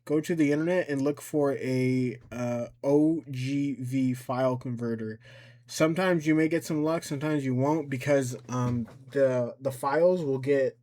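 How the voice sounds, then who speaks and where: A man with an average-pitched voice walks viewers through a software tutorial. His delivery is casual and unpolished, and he often talks to himself, thinking aloud while he explains each step. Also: measured pace. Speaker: tutorial creator and presenter.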